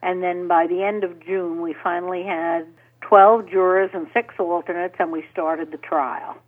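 A woman's voice: lowish voice, steady tone, normal speed. Female attorney guest on a phone line, conversational and dry. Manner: conversational, wry, explanatory. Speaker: lawyer interviewed as guest. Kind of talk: phone interview.

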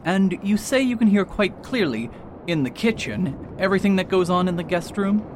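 A man's voice: high-pitched, expressive, moderate pace, noisy background. A man playing a confident detective doctor in an amateur radio drama, laying out precise deductions. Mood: self-assured. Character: confident middle-aged male detective doctor.

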